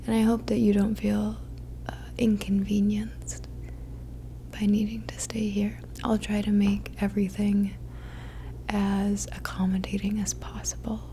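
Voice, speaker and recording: Slow, whispering ASMR woman. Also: mid-range voice, monotone, a little hiss or hum. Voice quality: soft, breathy whisper. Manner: gentle, soothing, unhurried. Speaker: ASMR roleplayer. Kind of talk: whispered ASMR roleplay as a caring friend.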